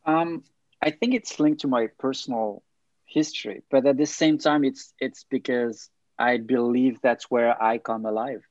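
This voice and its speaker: A man shares his background as an interview guest, pausing on fillers and restarting his sentences. The recording is dry and dull, with the muffled sound of lo-fi call audio. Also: mid-range voice, fairly even intonation, a little mellow, no room sound. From France. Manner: thoughtful, hesitant with fillers and restarts. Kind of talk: casual personal storytelling in interview.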